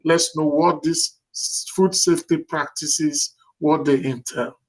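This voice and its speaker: A man's voice: a little high, fairly even intonation, a little slow, rough recording. Polite, rambling male interview host. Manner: rambling, polite, many fillers. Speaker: show host. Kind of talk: interview hosting.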